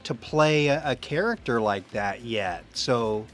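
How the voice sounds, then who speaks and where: A man's voice: medium pitch, expressive, a little slow, fairly bright tone. A man doing a casual promotional interview, enthusiastic and effusive as he talks with a fellow actor.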